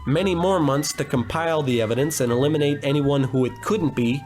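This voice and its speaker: A man's voice: a little high, a little fast, a little mellow, faint music behind, lightly acted. A man playing a hapless, hard-drinking, cocky private detective in a comedic old-time radio noir drama, given to wisecracks and melodramatic monologue. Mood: grave, melodramatic. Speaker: narrator and lead actor.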